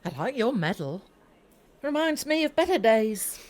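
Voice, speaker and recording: An actress playing a friendly, familiar café worker in an audio drama. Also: female voice, fairly high pitch, sing-song, normal speed, a little mellow. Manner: familiar, chatty. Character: friendly café shop worker, affectionate woman.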